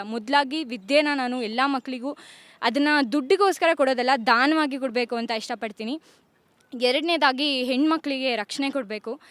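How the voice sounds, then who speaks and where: A woman giving an earnest informal speech as a contest participant, with halting starts and some English words mixed in. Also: high-pitched, a bit bright, smooth, no echo.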